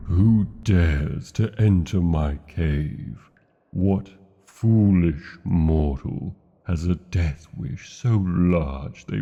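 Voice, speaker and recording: An ancient British dragon for a fantasy romance roleplay. The voice is very low and gravelly, and it moves extremely slowly through grand, old-fashioned phrases with lingering pauses. The creature is huge and proud, yet lovesick. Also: male voice, dark tone, muffled recording, exaggerated voice. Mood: furious, menacing. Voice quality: gravelly, husky. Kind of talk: romantic fantasy ASMR roleplay, slow theatrical delivery.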